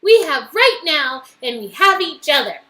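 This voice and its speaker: A caring young woman, soft and reassuring, as a woman reads her lines from a game. Her voice is very high, and it lilts up and down. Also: big pitch swings, measured pace, full character voice. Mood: tender. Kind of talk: reading game dialogue aloud.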